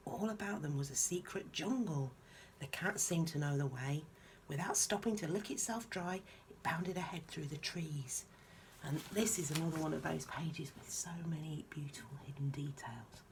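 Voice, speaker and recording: A woman reading a children's picture book aloud in a soft-spoken, musing way, with informal, chatty asides. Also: low voice, normal speed, slight background noise.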